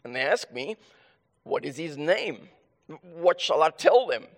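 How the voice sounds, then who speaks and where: A man with a South African accent preaching a sermon and reading scripture, his voice raspy and hoarse from illness, earnest with frequent restarts. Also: fairly high pitch, very animated, unhurried, in a large hall. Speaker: pastor.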